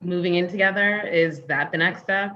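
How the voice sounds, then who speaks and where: A woman's voice: lowish voice, moderate pace, close to an everyday voice. A woman playing a blunt, exasperated live-in girlfriend in a comedy table read, sardonic and challenging, on slightly dull lo-fi audio. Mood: dry, pointed.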